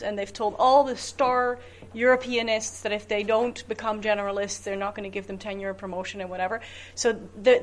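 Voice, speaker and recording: A middle-aged woman professor speaking on a panel, lively and full of anecdotes. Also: fairly high pitch. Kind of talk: academic panel discussion.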